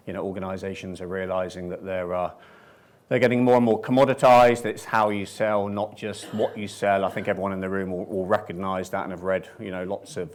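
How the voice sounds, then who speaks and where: Low, flat-voiced male consultant. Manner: measured, hesitant with many fillers. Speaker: panelist, management consultant. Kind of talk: conference panel answer. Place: conference room with audience.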